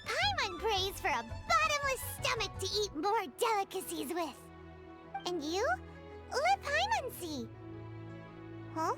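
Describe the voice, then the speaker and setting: A woman voicing a tiny, eager, childlike fairy companion in a video game, squeaky and excitable. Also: slow, pauses often, exaggerated voice. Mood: chirpy, reassuring. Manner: excitable, refers to self in third person. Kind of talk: animated video game dub acting. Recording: music behind the voice.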